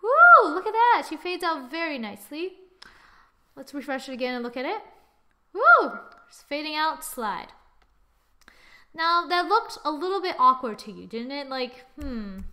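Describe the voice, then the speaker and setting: A young adult woman giving a casual software tutorial, with a playful, exclaiming manner and little sing-song asides. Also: high voice, sing-song, normal speed. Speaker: tutorial presenter. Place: screen-recorded voice-over.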